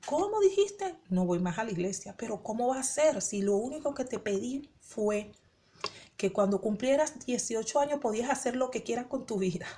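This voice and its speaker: A woman voicing a shocked, shrill mother. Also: expressive, normal speed, dark tone, natural voice.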